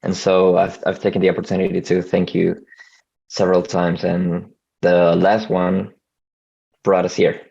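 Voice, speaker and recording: Low, gravelly man. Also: completely flat delivery, unhurried, a little mellow, lo-fi sound. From Venezuela. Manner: hesitant, frequent restarts and stammers. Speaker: video editor and freelancer. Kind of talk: casual video call conversation.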